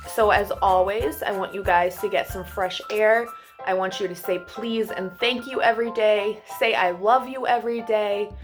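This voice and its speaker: A woman hosting children's storytime, expressive and affectionate, speaking simply as she would to young listeners. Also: mid-range voice. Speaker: storytime host reading to children. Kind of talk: animated children's storybook reading and friendly address.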